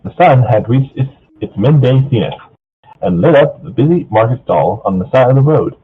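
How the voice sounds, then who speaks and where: A man's voice: medium pitch, lively intonation, moderate pace, husky. A man reading fan fiction casually with a group, stumbling over the odd word. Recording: thin phone-like voice chat.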